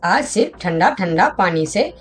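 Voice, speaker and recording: Woman voicing a low-pitched little cartoon animal. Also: big pitch swings, normal speed, heavy background noise. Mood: delighted. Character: small polite talking forest animal: fox, rabbit, monkey. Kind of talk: Hindi children's cartoon dialogue.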